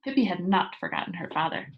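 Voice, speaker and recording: A woman teacher reading a children's book aloud and explaining it to young pupils in an expressive storytelling manner. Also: on the low side, quick pace, highs cut off.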